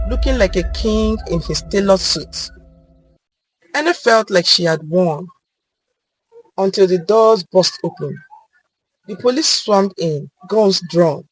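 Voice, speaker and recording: A woman tells a dramatic scripted tale with deliberate phrasing. Her pitch is mid-range and moves a great deal, and the recording is dry and close, with hardly any room sound. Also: no room sound, poor audio. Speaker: storyteller. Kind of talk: dramatic scripted story narration.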